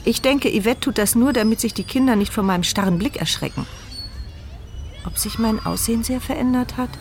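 A woman's voice: a little high, brisk, a little hiss or hum, lightly acted. An actress voicing a paralysed, blind and mute woman in a radio drama, narrating her inner thoughts in a dry, sardonic, reflective way.

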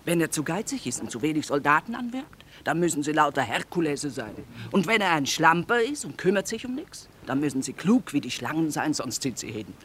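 A woman's voice: deep voice, big pitch swings, fairly quick, hardly any pauses, fairly bright tone. An actress playing a shrewd middle-aged market trader and mother, sardonic and earthy, in radio drama style. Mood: sardonic lecturing. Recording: noisy old radio play recording.

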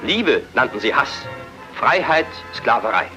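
A man reading scripted dramatic narration in a solemn, measured manner. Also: mid-range voice, big pitch swings. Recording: dull old broadcast with music behind.

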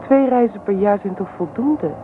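Radio drama young wife, played by an actress: practical, wounded, plaintive and reproachful, heard through a narrow, slightly noisy old recording. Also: female voice, mid-range voice, moderate pace, natural voice. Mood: pleading, longing. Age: young adult. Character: hurt, practical young wife longing for a home. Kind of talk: Dutch radio play acting.

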